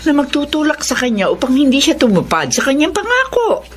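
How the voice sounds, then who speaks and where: A woman's voice: high voice, huge pitch swings, brisk. An actress playing a firm, reassuring Filipino mother advising her grown son in a radio drama. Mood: cautioning, reassuring. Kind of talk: Tagalog radio drama acting.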